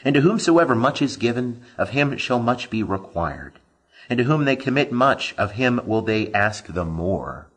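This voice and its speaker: A man with a husky, slightly rough voice reads scripture aloud as solemn narration. His delivery is measured and declamatory, with a lively rise and fall in pitch and emphatic phrasing at a middling register. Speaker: Bible reader.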